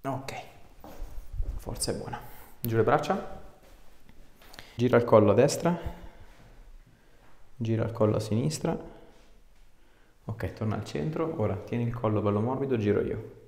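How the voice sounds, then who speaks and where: A man's voice: medium pitch, animated, measured pace, creaky, long pauses, mellow. A man, working as an osteopath and giving short, informal instructions and questions during a hands-on consultation. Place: small clinic treatment room, indoors. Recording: echoey small treatment room.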